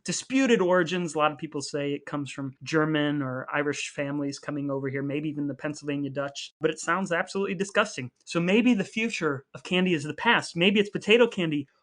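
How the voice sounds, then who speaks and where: A man's voice: fairly high pitch, fairly quick, hardly any pauses, fairly bright tone. A man in his forties co-hosting a podcast, talking casually in a wry, explanatory way. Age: 40s. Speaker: podcast co-host. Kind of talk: casual conversational podcast talk.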